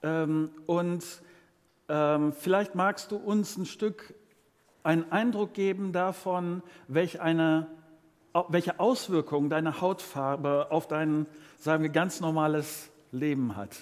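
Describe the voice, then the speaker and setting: Male pastor as interviewer, higher register, tentative word-searching delivery, long hall reverb. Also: steady tone. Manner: hesitant, searching for words, halting phrasing. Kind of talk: informal live interview before a congregation.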